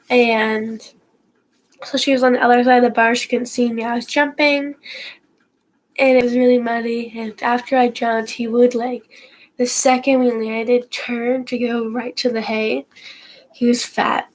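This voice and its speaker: A woman's voice: fairly high pitch, level tone, a little slow, long pauses, low-quality recording. A teenage girl with a stuffy nose from a cold, telling a story to her viewers in a casual, rambling way, full of fillers and restarts. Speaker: horse vlogger. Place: at home, indoors.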